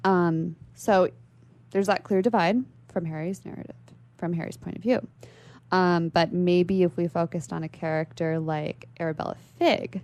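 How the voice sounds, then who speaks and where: A woman with a creaky voice speaking as an interview guest, explaining literary ideas in a thoughtful, conversational way. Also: lowish voice, darkish tone. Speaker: library worker. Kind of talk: conversational literary discussion on a radio show.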